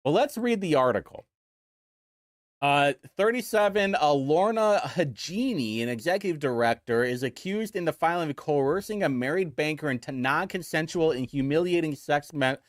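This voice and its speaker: Young Midwestern man, show host. Sarcastic, mocking delivery, lively pitch, dry close sound. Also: normal speed, dry recording. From Indiana, United States. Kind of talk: casual commentary show.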